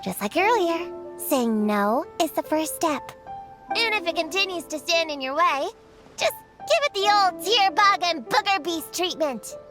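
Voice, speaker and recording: A woman's voice: extremely high pitch, big pitch swings, a little slow, pushed and bright, heavily acted. A woman voicing a small, earnest, precocious child who likes to lecture, in a video game cutscene. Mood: earnest, lecturing. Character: small, earnest, know-it-all child friend. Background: background music.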